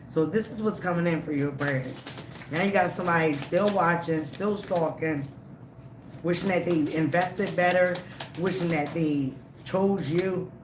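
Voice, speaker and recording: A tarot reader giving a live reading, emphatic and repetitive, slightly raspy from a cold. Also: mid-range voice, moderate pace, roomy sound, background noise. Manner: emphatic, repeats phrases, frequent "okay?" and "all right?".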